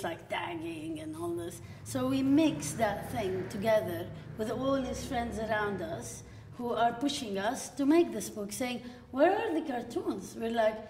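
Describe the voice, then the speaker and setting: A woman in her forties from Lebanon, a filmmaker guest at a live panel, telling stories in a lively way with quoted asides. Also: mid-range voice, slight background noise. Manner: lively storytelling with frequent laughs and quoted asides. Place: gallery room with seated audience. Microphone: stand mic at a distance. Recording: echoey room from a stand mic.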